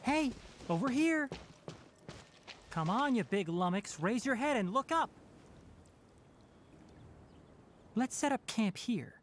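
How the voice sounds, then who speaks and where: A young male ranger scholar in a video game. He is composed, precise and dryly scolding, yet his pitch moves a lot. A faint noise runs behind the voice. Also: lively intonation, measured pace. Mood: teasing impatience.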